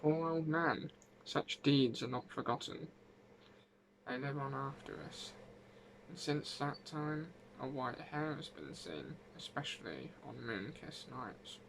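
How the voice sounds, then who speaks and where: Storybook ghost of an old country wise woman played by a man, gravelly, folksy, wronged, faint noise behind. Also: mid-range voice, animated, takes it slowly, pitched above the performer's own voice. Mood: mournful, reproachful. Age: 80s. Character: ghost of a gentle, wronged old village herbwoman. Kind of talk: first-person folk tale narration.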